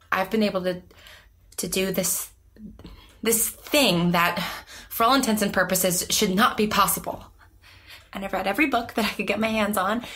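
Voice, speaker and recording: A woman's voice: mid-range voice, bright, forceful tone, lightly acted. Nervous, stammering young woman in therapy, acted. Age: young adult. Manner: hesitant, stammering, self-conscious rambling. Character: nervous, rambling young woman with secret ability. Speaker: therapy patient character. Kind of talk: naturalistic audio drama acting.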